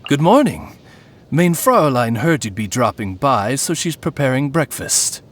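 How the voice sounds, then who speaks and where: Courteous raven butler, lively intonation. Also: male voice, medium pitch, measured pace. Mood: polite, explanatory. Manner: polite, deadpan, butler-like. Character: dry, courteous raven familiar serving a princess. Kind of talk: scripted video game character acting.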